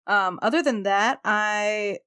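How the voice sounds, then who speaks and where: A woman's voice: mid-range voice, takes it slowly, bright, forceful tone, smooth. A woman talking casually as one of the panelists on a role-playing podcast. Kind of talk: casual chat.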